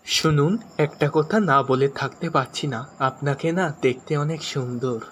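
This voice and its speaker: A man voicing a flattering, teasing flirt in a comic cartoon skit. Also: medium pitch, moderate pace, over music, lightly acted. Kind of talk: comic cartoon dubbing in short joke skits.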